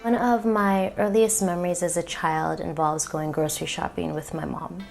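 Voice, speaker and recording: A woman's voice: fairly low pitch, fairly even intonation, moderate pace, creaky, darkish tone, soft background music. A woman community organizer telling a personal story, reflective and earnest, with frequent ums.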